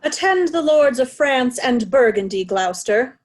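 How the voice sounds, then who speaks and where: A woman's voice: high-pitched, animated, a little slow. A woman playing an imperious aging king in a reading of Shakespeare, stately and commanding. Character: aging king, imperious, dividing his realm among daughters. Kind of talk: Shakespearean reader's theatre.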